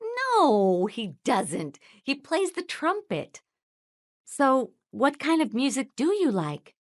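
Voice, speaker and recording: A voice actress plays an enthusiastic woman in an English textbook conversation. Her voice is a little high with lively melody. The lines are scripted for learners. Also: slow pace, full character voice. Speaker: voice actor in English lesson dialogues.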